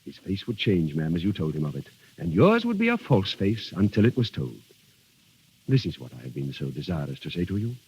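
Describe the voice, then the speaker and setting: An actor playing an earnest, gallant army captain in love in a vintage radio drama, with formal, courtly, hesitant phrasing. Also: male voice, low-pitched, huge pitch swings, fairly quick, darkish tone, lo-fi sound, a little hiss or hum. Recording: old radio recording with music behind.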